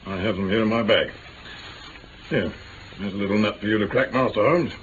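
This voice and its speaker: A man's voice: lowish voice, a little fast, fairly bright tone, close to an everyday voice. Man acting grumbling English police inspector, muffled recording. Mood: sceptical, challenging. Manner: grumbling, blunt. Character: gruff, exasperated police inspector, stubborn and sceptical. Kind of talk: mid-century radio drama acting. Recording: dull, hissy old radio recording.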